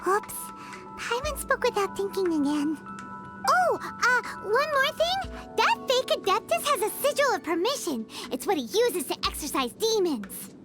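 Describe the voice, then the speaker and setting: A woman voicing a small, excitable, cheeky fairy companion in a video game, with a squeaky childlike voice. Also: moderate pace. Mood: sheepish. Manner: speaks of self in third person, exclamatory. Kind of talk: video game character acting. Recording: prominent game music behind.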